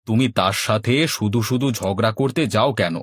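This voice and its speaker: A man's voice: lowish voice, normal speed, lightly acted. A man playing a henpecked village husband in a cartoon comedy, exasperated by quarrels and trying to placate. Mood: weary, placating. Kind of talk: Bengali cartoon comedy acting.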